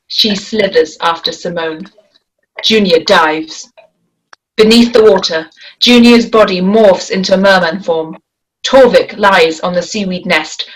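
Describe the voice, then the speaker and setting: A woman's voice: medium pitch, a bit bright, low-quality recording. A woman reading stage directions as scripted narration for a screenplay.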